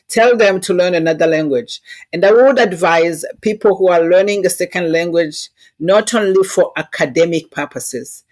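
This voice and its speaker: A woman's voice: lowish voice, a little mellow. A woman with a Rwandan accent giving conversational interview answers and anecdotes in an earnest, instructive way, with non-native phrasing. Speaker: interview guest, diversity consultant.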